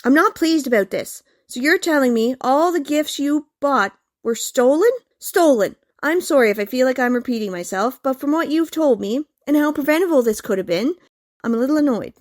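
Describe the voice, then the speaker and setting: A stern, sarcastic, unforgiving woman, acted out by a woman in an audio comedy-drama. Her high voice is curt and deliberate, in a dry recording with no room around it. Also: animated, dry recording. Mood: annoyed.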